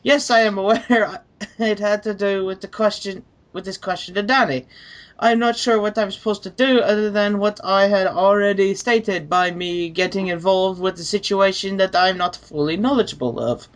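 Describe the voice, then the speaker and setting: A man with a notably high-pitched voice works as a co-commentator. He reads written comments aloud and talks them over. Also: steady tone, moderate pace.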